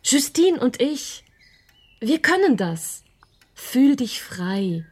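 An actress playing a caring, quietly resolute young woman in a radio drama, gentle, earnest and encouraging. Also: high-pitched, big pitch swings, very slow, breathy edge, full character voice. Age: young adult.

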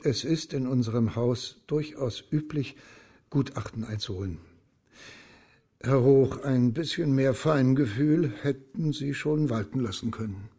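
An actor playing a formal, evasive publishing boss in a radio crime drama, measured, courteous and a little patronising. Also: male voice, mid-range voice, mellow, husky. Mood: placating, then reproving. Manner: measured, stiffly polite.

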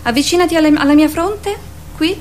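A woman's voice: extremely high pitch, a little fast, darkish tone, a little hiss or hum. An actress playing a sceptical, teasing young girlfriend in a radio play, with dry, curt replies. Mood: mocking, doubting. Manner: dry, doubting, curt interjections. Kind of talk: radio theatre acting.

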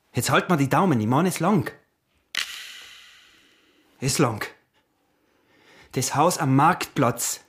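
An actor playing a greedy, scheming smuggler husband in a radio drama, with a husky voice, an Austrian accent and a muttering, wheedling manner. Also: male voice, mid-range voice.

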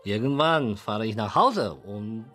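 An actor playing a crafty, gruff Chinese restaurant boss in a radio drama, curt with orders and fond of crude jokes. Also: male voice, on the low side, animated, slow pace, fairly bright tone. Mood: joking, teasing.

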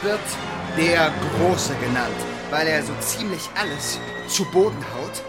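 A man's voice: on the high side, expressive, a little slow. A man playing an eager, wry history explainer in a radio play, telling it with dramatic build-up. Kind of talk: radio play acting, narrated dialogue. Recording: music behind the voice.

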